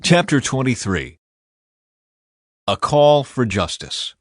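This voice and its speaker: Male audiobook Bible reader, mid-range pitch, measured and solemn, close dry studio sound. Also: big pitch swings, no room sound. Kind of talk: scripted scripture narration.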